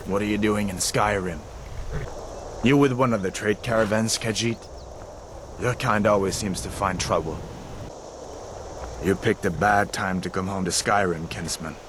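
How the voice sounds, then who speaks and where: Actor as low-voiced, dutiful soldier. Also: male voice, lightly acted. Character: dutiful, sympathetic male Imperial soldier with prisoner list. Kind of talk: video game character acting. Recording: noisy outdoor game scene ambience.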